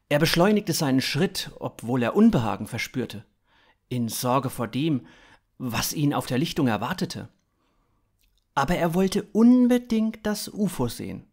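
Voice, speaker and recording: A man in his thirties narrates a scripted story for a fiction podcast. His pitch is mid-range and he takes his time, reading at a slow pace. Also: vocal fry. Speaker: author-narrator.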